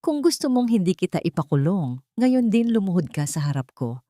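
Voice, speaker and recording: A woman's voice: on the low side, big pitch swings, moderate pace, dark tone, dry recording, clean studio sound. A woman voicing a cruel, haughty rich female boss in an audio story, contemptuous and commanding. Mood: cold threatening. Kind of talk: dramatic Tagalog audio story dialogue.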